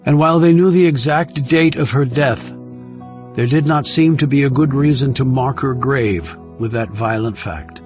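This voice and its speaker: A man's voice: medium pitch, normal speed, over music. A man reading scripted thriller narration as an audiobook narrator.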